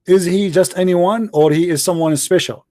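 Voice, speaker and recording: A man's voice: high voice, moderate pace, dark tone. A man hosting a heated call-in debate, combative and mocking, speaking English as a second language after Arabic. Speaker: debate show host.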